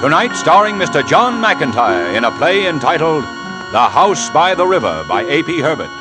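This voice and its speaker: A man's voice: fairly high pitch, big pitch swings, moderate pace, poor audio. A man introducing a 1940s radio drama in a formal, theatrical announcer style. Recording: vintage radio broadcast under loud music. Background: loud music.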